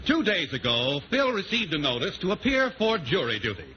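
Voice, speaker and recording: Old time american radio announcer guy, high lively voice, music behind, dull vintage sound. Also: a little fast. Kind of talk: 1940s radio announcing, scripted narration. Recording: band-limited 1940s radio recording.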